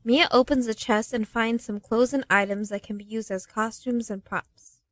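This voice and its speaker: An actress playing the knowing storyteller who reads the scripted narration of a radio play. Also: female voice, a little high, fairly even intonation, moderate pace, dry recording, natural voice. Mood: storytelling.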